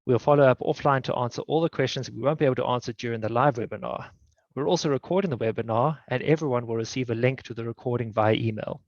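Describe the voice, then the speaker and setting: A man's voice: mid-range voice, fast, darkish tone, no room sound, dull audio. A man hosting a live webinar, reading introduction and housekeeping notes. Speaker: webinar host.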